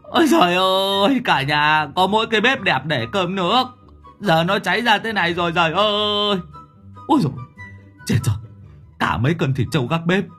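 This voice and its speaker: A man's voice: high voice, expressive, moderate pace, faint music behind, voice pushed up. A man voicing a panicky, foul-mouthed village man who wails and curses. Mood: wailing. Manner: wailing, cursing, yelling.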